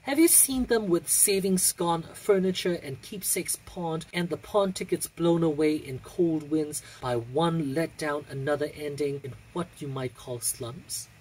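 A young adult with an androgynous, husky voice reciting poetry in a measured, theatrical way with deliberate pauses. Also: medium pitch, darkish tone, rough recording. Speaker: poetry reader.

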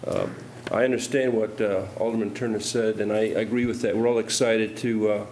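A man's voice: on the low side, level tone, brisk, darkish tone, a little hiss or hum. A middle-aged man alderman questioning budget figures with skeptical, pointed challenges during a city council debate, speaking into a desk microphone.

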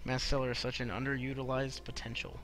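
A man's voice: mid-range voice, level tone, quick pace, fairly bright tone, slight background noise, rough recording. A man giving casual, joking live-stream commentary on a video game and reading chat messages aloud. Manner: offhand, joking. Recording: game music behind the voice. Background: video game music.

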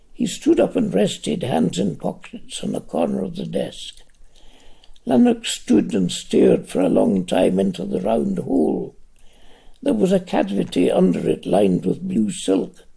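An older man reading his own novel aloud at home, in a slow, dramatic literary reading. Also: wildly swooping pitch, gravelly, dark tone, breathy edge, low-quality recording. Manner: slow, dramatic reading with wide swings of pitch.

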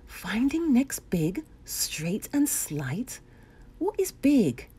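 A woman voicing an eager, brave young squire boy in a storybook, excited and full of wonder. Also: fairly high pitch, extremely slow, husky, voice pushed up, exaggerated voice.

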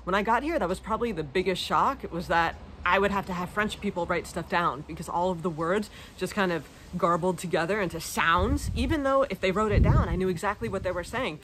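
A woman's voice: lowish voice, a little fast, few pauses, a bit bright. A woman in her thirties with an American accent, chatting informally and self-deprecatingly as she answers questions in a vlog. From United States. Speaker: vlogger. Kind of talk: casual chat answering viewer questions. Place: outdoors in a leafy garden. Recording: clear outdoor background noise, garden ambience.

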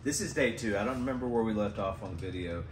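A man in his thirties hosting a casual storage-unit vlog, chatty and excited, talking straight to viewers as he digs through boxes in a storage hallway. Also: fairly low pitch, quick pace, noisy background. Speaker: storage unit treasure hunter host. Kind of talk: casual vlog unboxing chat. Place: indoor self-storage hallway.

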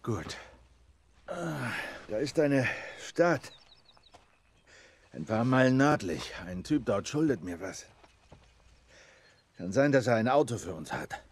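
A man playing a gruff, stern middle-aged survivor in a video game dub, terse and commanding. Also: medium pitch, very animated, slow, pauses often, heavily acted. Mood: stern, guarded. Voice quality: gruff, husky.

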